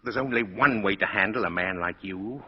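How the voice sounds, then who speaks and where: An older man acting a kindly but easily indignant old Santa Claus in a 1940s radio drama, with a husky voice. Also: on the low side, normal speed. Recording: dull vintage 1940s radio recording.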